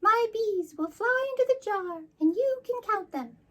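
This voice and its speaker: A woman voicing a haughty, indignant queen bee in a shrill, imperious storybook character voice. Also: animated, a little slow. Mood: bossy.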